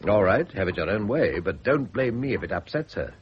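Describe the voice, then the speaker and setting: An actor playing a cynical, witty, well-off British husband in a radio drama, speaking with urbane irony. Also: male voice, on the low side, lively intonation, a little fast, lightly acted. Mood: irritated. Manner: urbane, ironic, epigrammatic. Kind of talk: classic radio drama acting. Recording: muffled, noisy old radio recording.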